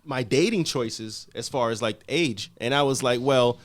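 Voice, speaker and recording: A man's voice: mid-range voice, unhurried. A man with a Jamaican accent, a podcast guest chatting casually, laughing often while telling stories. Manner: laughs often, animated storytelling.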